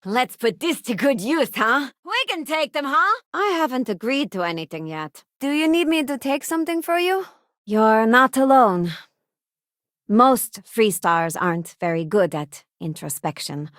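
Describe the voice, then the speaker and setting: A woman's voice: on the high side, big pitch swings, measured pace, fairly bright tone, no echo. A young working-class woman aboard a starship in a science fiction game. She is principled and self-assured, with an earnest, plain-spoken delivery. The sound is dry, with no room around the voice. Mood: friendly, upbeat. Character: principled working-class woman spacefarer, confident, idealistic crew companion. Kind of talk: video game companion voice lines.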